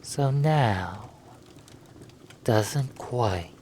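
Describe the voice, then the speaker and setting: Guy doing a creepy calm vampire for hypnosis roleplay, super slow and commanding, bit of background noise. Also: mid-range voice. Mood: languid, hungry. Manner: slow, formal, deliberate, menacing. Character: predatory, commanding male vampire stranger, hungry and calm. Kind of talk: hypnosis roleplay audio acting.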